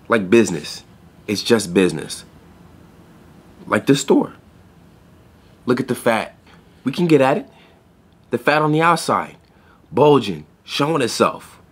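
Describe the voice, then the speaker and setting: An actor playing an earnest, deadpan young pet store clerk in a podcast play. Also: male voice, mid-range voice, measured pace, pauses often, slightly rough. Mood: earnest, reassuring. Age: young adult.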